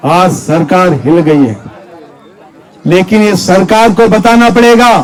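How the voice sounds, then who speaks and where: A male activist monk speaks at a public rally in a strikingly high voice. His delivery is slow and deliberate, declamatory and impassioned, built on repeated phrases and rhetorical questions put to the listeners. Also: animated, some chatter behind. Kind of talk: impassioned political rally speech.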